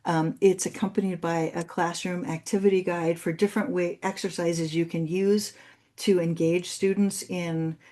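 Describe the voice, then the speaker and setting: A woman's voice: fairly low pitch, little pitch movement, moderate pace, dark tone. A woman who is a native Spanish speaker, presenting and answering questions as an articulate, explanatory university speaker. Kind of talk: conference presentation and Q&A.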